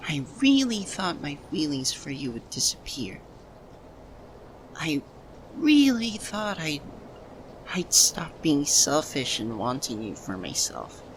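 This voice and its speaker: A man acts out a young man hopelessly in love with a childhood best friend, in an intimate audio roleplay. The delivery is slow and broken by pauses, with a medium-pitched voice that rises and falls freely with feeling. Some background noise sits behind the voice. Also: heavily acted. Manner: halting, breaks phrases with long pauses. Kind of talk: ASMR roleplay, emotional dramatic monologue.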